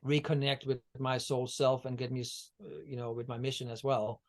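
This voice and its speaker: A man's voice: medium pitch, little pitch movement, moderate pace. A man from Denmark, a guest on a video-call interview, talking about energy healing in a meandering way with many fillers and restarts. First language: Danish.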